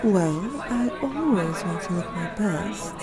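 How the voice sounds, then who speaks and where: A woman's voice: on the low side, lively intonation, slow, a crowd in the background. A woman playing an envious, irritable Victorian woman writer in an audio drama, theatrical and sardonic. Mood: guarded, cool.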